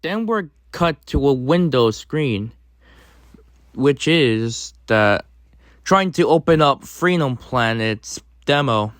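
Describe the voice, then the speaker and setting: A man hosting a casual explainer commentary, speaking in halting, choppy phrases. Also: medium pitch, lively intonation, no room sound, studio quality. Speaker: video host.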